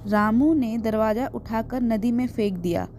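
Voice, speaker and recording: A woman's voice: a little high, a little slow, dark tone. A woman reading scripted narration for a children's moral story. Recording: music behind the voice.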